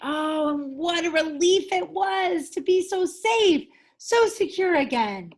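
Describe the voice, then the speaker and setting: A woman, a teacher, narrating a children's picture book aloud in an expressive storytelling style. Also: extremely high pitch, slow.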